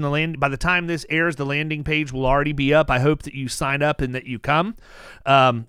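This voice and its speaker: A man hosting a casual podcast, talking animatedly with many restarts and making pitches to his listeners. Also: fairly bright tone, clear, resonant tone, no echo, clean studio sound. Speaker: podcast host.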